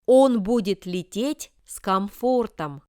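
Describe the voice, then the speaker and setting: Very clear, slow-reading woman. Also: mid-range voice, expressive, darkish tone, dry recording, studio quality. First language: Russian. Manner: careful, deliberate enunciation. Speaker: language lesson reader. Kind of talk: reading example sentences slowly for learners.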